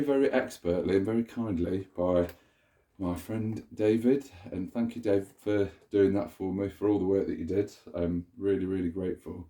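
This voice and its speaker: A man's voice: low-pitched, darkish tone. A man with a stuffy cold chattily shows off a vacuum cleaner in a sunny room indoors, filming it up close with a handheld camera. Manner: chatty, meandering, self-correcting. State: nasal from a cold. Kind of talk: casual show-and-tell demonstration.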